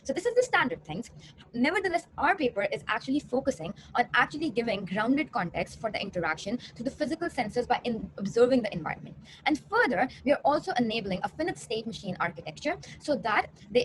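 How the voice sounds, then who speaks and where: A young woman, a PhD student, giving a rehearsed research presentation. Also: a little high. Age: young adult. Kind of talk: rehearsed conference talk. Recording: clearly noisy recording.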